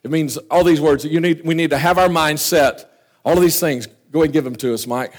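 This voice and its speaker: A man preaching a sermon with fervor, often dropping to quiet asides. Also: fairly high pitch, a little fast. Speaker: pastor. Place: church.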